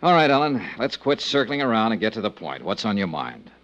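An actor with an American accent playing a wry, hard-boiled insurance investigator with clipped delivery in a 1950s radio drama. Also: male voice, fairly low pitch, big pitch swings, fairly quick, fairly bright tone. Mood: sardonic, pressing. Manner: clipped, sardonic. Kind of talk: 1950s radio detective acting and narration. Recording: dull lo-fi 1950s radio recording.

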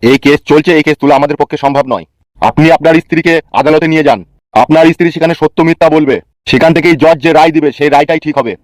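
Man playing fast-talking, stern cartoon police officer. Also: on the high side, steady tone, darkish tone, dry recording, lightly acted. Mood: stern, lecturing. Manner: lecturing, blunt. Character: stern, weary, crude-tongued male senior police officer. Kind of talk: comic cartoon dialogue.

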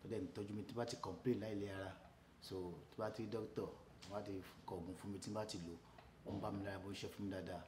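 A man's voice: on the low side, fairly even intonation, rapid-fire. A man giving a patient testimonial. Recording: roomy echo, clear background noise.

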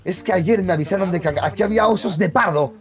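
A man joking excitably while playing a roleplay game over voice chat. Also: sits high, fast.

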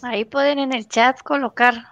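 A woman's voice: sits high, steady tone, normal speed, no room sound. A woman co-hosting an online workshop, giving the audience brief instructions over a video call. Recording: dry, dull video-call audio.

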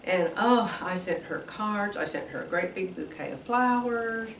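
Older woman, sixties, devotional host, slightly stuffy, unhurried, distant roomy sound. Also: medium pitch, slight background noise. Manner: chatty, laughs often, drawn-out emphasis. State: stuffy with allergies, wheezy. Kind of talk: casual devotional talk. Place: room with a quilt backdrop. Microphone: camera at a distance, standing at a lectern.

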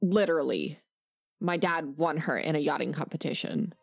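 A woman's voice: sits low, animated, moderate pace, vocal fry. A woman voicing a snooty, insecure rich preteen girl in a cartoon comic dub, disdainful and whiny. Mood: glum, defensive.

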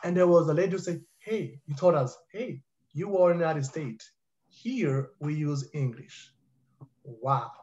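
A man's voice: a little high, unhurried, a little mellow. A man in his thirties whose first language is French, speaking earnestly as a panelist on a video call through a headset. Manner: earnest, emphatic, repeats for stress. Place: home living room with high ceiling. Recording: dull muffled video call audio.

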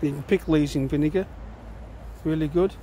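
Unhurried man foraging outdoors, slightly high voice. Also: warm tone, a little hiss or hum. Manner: plain, unhurried, pointing things out as he digs. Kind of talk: casual walk-and-talk foraging demo. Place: outdoors in woodland.

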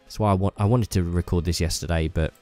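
Deep male vlogger, stuffy cold, enthusiastic and chatty. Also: steady tone, fast, warm tone, soft background music. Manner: enthusiastic, rambling with fillers. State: bunged up with a cold. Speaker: YouTuber. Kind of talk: casual hobby vlog talk.

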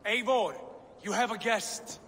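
An actor playing a proud, insistent Viking warrior lord in a game, declaiming grandly. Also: male voice, high voice, a little slow, fairly bright tone, slightly rough, heavily acted. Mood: eager. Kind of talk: video game cutscene acting.

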